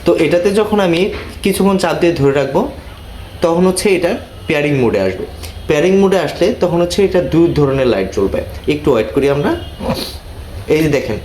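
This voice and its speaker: Echoey, distant man explaining step by step. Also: a little high. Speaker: motorbike rider and video channel host. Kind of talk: casual spoken tutorial. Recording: echoey, distant mic, noisy ambience.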